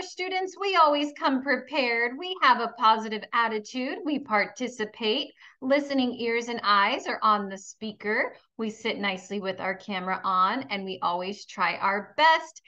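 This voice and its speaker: A woman's voice: a little high, pushed and bright, lo-fi sound. A woman teaching kindergarten in a read-aloud lesson, encouraging and instructional as she addresses young pupils.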